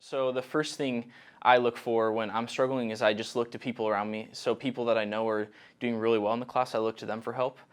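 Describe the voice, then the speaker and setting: A young man, a college sophomore from Oregon, talking in a relaxed, reflective way. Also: medium pitch, fairly quick, a little hiss or hum. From Oregon, USA. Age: young adult. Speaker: college student.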